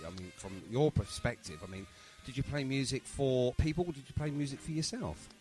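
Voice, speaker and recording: Chatty man with animated pitch. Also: fairly quick, soft background music. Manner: chatty, rambling, jokey. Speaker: radio show and podcast host. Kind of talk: casual radio interview.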